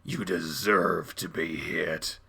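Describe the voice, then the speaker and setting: A man's voice: mid-range voice, expressive, moderate pace, gravelly, pushed and bright, full character voice. A man voicing a contemptuous, bullying animal father in a dramatic story, scolding with menace. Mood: cold menace.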